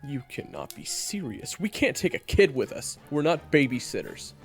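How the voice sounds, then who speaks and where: A man acting a gruff, cocky young monster hunter in a fantasy audio drama, blunt and sneering. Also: mid-range voice, very animated, normal speed, soft background music. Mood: indignant.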